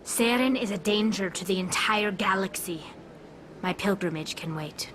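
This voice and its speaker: A woman's voice: medium pitch, moderate pace, a bit bright, slight background noise, natural voice. A woman voicing a young alien pilgrim in a video game, earnest and determined as she explains herself. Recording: music under the voice.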